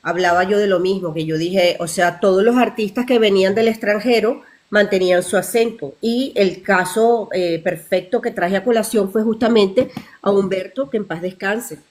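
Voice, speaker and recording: A woman's voice: medium pitch, moderate pace, darkish tone. A woman moderating a live panel conversation, chatting in an affirming tone.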